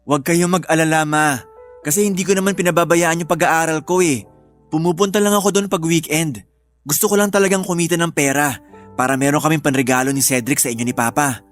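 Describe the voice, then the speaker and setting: A man playing a devoted young student son speaks in a fairly high voice. It is family radio drama acting, playful yet dutiful, over faint music. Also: brisk, fairly bright tone, lightly acted. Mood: earnest, devoted. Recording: faint music bed under voice.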